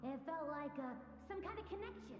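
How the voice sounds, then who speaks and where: A woman's voice: high-pitched, expressive, a little fast, muffled recording, faint music behind. A woman voicing a small, cute, perky creature companion in a video game, childlike and exclamatory. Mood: perky, curious. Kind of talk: animated game voice acting. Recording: echoey, long reverb.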